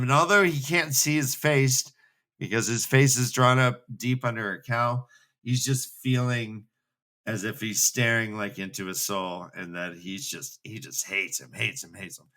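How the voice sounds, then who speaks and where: A man with a middle-pitched, bright and forceful voice retells a story as a show host. His delivery is casual and animated, full of slang and joking asides. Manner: animated and slangy, acting out dialogue in bits. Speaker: co-host of a fantasy book re-read show. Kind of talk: casual, jokey story recap.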